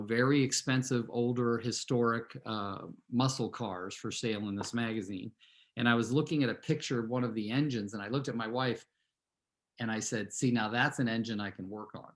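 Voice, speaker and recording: A man in his sixties from California, a real estate team leader telling a rambling personal story to his team on a video call, often turning to address his listeners directly. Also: mid-range voice. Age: 60s.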